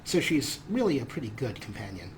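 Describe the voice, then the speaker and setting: A man's voice: medium pitch, big pitch swings, brisk. Playful male gamer over noisy ambience. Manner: playful, talks to game characters. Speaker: let's play host. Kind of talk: casual game commentary. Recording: clear game ambience noise.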